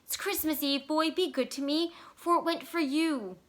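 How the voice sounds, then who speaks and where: Woman acting a high, eager young wife. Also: moderate pace, lightly acted. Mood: anxious, pleading. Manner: gushing, emphatic, pleading. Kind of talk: dramatized short story reading.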